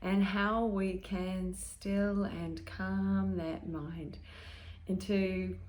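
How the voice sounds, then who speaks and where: A woman leading a guided meditation in a calm, gentle, soothing manner, pausing between short phrases and letting their endings turn soft and breathy. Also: mid-range voice.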